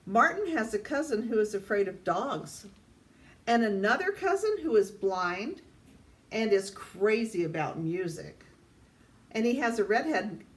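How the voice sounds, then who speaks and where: An older woman reads a children's picture book aloud in a deliberate way, addressing young listeners. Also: medium pitch. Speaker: storytime reader. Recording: distant mic, faint background noise.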